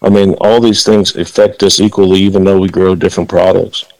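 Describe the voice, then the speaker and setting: A man's voice: deep voice, flat delivery, brisk, darkish tone, dry recording, poor audio. A man from the Dominican Republic, a farmer guest on a radio interview, talking earnestly with frequent fillers.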